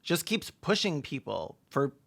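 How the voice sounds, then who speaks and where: A commentator with a snarky, camp deadpan delivery, narrating a comedic film recap full of sarcastic asides. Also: mid-range voice, unhurried, creaky, slight background noise. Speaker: video essayist and commentator.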